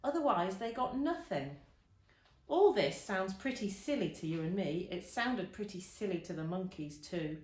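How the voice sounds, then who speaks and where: A woman reading a children's storybook aloud with expressive, emphatic narration. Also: mid-range voice, normal speed. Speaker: storybook reader. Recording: roomy, echoing indoor sound.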